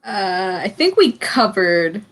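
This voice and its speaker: A woman's voice: mid-range voice, sing-song, slow, a bit bright. A woman in her early twenties chatting casually in a book discussion.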